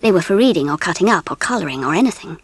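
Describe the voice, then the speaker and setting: An actress playing a genteel English mother who narrates a children's story in a measured, expressive way with an English accent. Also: on the low side, breathy edge, dry recording. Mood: wistful, grave. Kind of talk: scripted audiobook narration with music.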